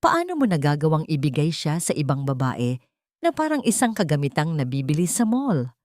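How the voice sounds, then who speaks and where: A woman's voice: sits low, sing-song, fairly quick, darkish tone, no echo. Hurt Filipino wife and mother-to-be, voiced by a woman storyteller, indignant and pleading, dry story recording. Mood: pained, pleading. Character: wounded, defiant young wife and expectant mother.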